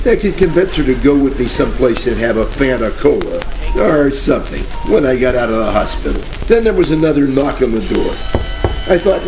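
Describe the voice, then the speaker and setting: An actor playing a cocky, wisecracking police detective in a parody of 1940s radio mysteries, with wry asides and old-time slang. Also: male voice, mid-range voice, echoey room, lightly acted. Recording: music behind, crackly old-radio style.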